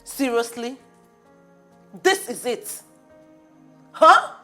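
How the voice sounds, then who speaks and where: A reproachful woman scolds her friend in a high, animated voice. Faint music plays under her lines. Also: drawn-out words, heavily acted. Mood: scolding. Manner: incredulous, scolding.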